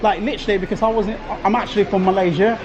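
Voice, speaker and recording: A man's voice: high-pitched, fairly even intonation, very fast, dull audio, music in the background. A man vlogging casually and exclaiming informally as he walks around a supermarket. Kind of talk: casual walk-around vlog narration. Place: inside a large supermarket.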